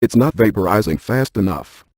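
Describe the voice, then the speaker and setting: A man voicing a brainy, unflappable ghost-hunting scientist in a video game, with precise, clinical, deadpan delivery. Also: lowish voice, moderate pace, dry recording, studio quality. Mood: urgent, focused. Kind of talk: scripted game voice lines.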